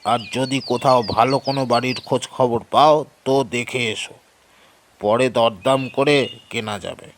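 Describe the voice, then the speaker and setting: An actor playing a cautious, superstitious elderly uncle in an audio horror story, emphatic and admonishing. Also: male voice, medium pitch, steady tone, measured pace, slight background noise, lightly acted. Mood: indulgent.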